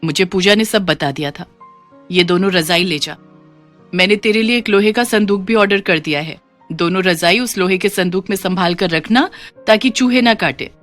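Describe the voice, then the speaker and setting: A woman's voice: medium pitch, moderate pace, a bit bright, soft background music, lightly acted. Woman playing a kindly lady of the house. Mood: kind, reassuring. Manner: kindly, informal, instructing. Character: kind, considerate middle-class lady employer of a maid.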